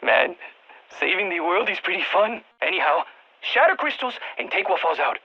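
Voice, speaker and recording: Excitable scientist, husky, Spanish-accented. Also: male voice, high voice, normal speed, lo-fi sound, heavily acted. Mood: gleeful. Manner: animated, exclamatory, peppers speech with Spanish interjections. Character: excitable, quirky male exogeologist supporting a military strike team. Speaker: science advisor on the radio. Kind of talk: video game voice acting, mission radio callouts.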